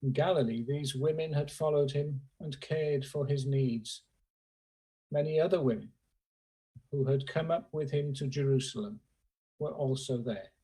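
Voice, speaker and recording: Male scripture reader for worship, husky voice, slow and solemn, pausing between phrases, on a video call. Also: medium pitch, warm tone, poor audio. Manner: slow, measured phrasing with pauses. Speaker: scripture reader at a church service. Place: remote, on a Zoom call. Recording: remote video call audio.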